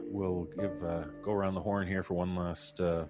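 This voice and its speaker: A man's voice: low-pitched, flat delivery, normal speed, over music. A man hosting a live stream in casual, informal and jokey chat. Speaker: host of a horror audio drama podcast live stream.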